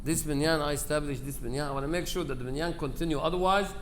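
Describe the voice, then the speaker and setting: Guy, bit high voice, rabbi doing a chatty eulogy w/ quoted back and forth, noisy live recording. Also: quick pace, fairly bright tone. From Aleppo, Syria. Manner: conversational storytelling, acting out quoted dialogue, broken English grammar. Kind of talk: informal memorial speech with personal anecdotes. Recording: live speech with clear background noise.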